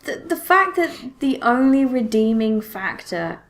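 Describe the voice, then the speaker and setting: A woman co-hosting a podcast, talking casually about films in an animated way and often repeating herself. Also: on the high side, a little slow. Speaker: podcast co-host.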